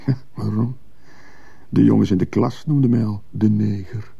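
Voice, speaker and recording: A man playing a bitter, quietly stubborn dismissed schoolteacher in a radio play, brooding, hesitant and wry. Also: on the low side, steady tone, normal speed, creaky, slightly rough, full character voice. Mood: hesitant disbelief. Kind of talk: naturalistic Dutch-language radio play acting. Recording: muffled, dull old radio play recording.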